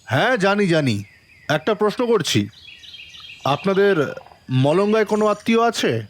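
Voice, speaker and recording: A man playing a composed, probing police inspector who narrates his case in a dramatic, deliberate audio-drama style. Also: high-pitched, big pitch swings, a little hiss or hum. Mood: firm, questioning. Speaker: narrator and lead actor in an audio story. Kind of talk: dramatic first-person detective story narration. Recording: long reverb, music underneath.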